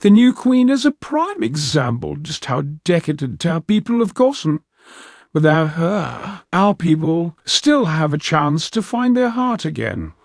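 A man's voice: fairly high pitch, big pitch swings, a little slow, vocal fry, no echo, clean studio sound, voice pushed up, full character voice. A man voicing a haughty elder male cat-like alien villain, contemptuous and threatening in an audiobook. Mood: bitter, angry. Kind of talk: audiobook character voice.

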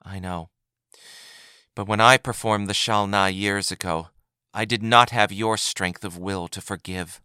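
A man voicing a dignified woman judge who speaks in a measured, solemn way. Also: on the low side, fairly even intonation, sharp tone, clean tone, no echo, clean studio sound. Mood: gentle.

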